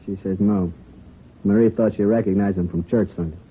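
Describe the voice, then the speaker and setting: A police interpreter played by a man talks fast in a low, husky voice, relaying things matter-of-factly. The vintage radio drama recording is thin and narrow. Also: steady tone, slight background noise, close to an everyday voice. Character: obliging bilingual police interpreter questioning and translating. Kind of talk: 1950s radio drama acting.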